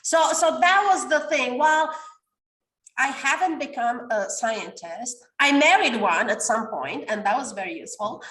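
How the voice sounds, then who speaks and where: A woman's voice: fairly high pitch, expressive, a little slow, fairly bright tone, echoey room, poor audio. A woman in her forties from Romania, a coach talking animatedly in a podcast interview and sometimes searching for words. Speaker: guest, coach.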